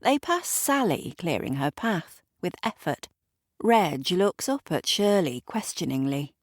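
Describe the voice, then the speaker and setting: A woman's voice: fairly low pitch, sing-song, a little slow, dry recording. A woman narrating an audio drama in a wry tone, with dry asides to the listener. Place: recording studio.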